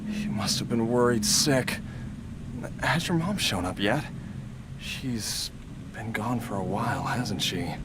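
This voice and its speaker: An actor playing a gentle, ailing father with a hesitant manner in a video game. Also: lowish voice, lively intonation, very noisy. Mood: confused, apologetic. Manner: hesitant, slow. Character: gentle, grateful ailing father, adult man. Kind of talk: English video game voice acting. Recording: slightly distant, with loud background music.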